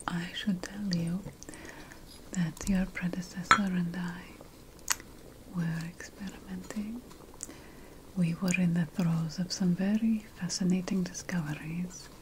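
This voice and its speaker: A woman playing an ancient, slyly ominous sorceress apothecary, whispering breathily in an ASMR fantasy roleplay, with deliberate dramatic pauses. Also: on the low side, steady tone, noisy background, close to an everyday voice. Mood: conspiratorial, fascinated.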